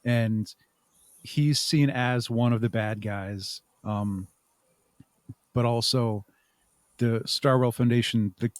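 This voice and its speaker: A man's voice: medium pitch, steady tone, takes it slowly, long pauses, no room sound. A man speaking as a podcast guest in casual interview talk, explaining things thoughtfully. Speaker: podcast guest and audio drama creator.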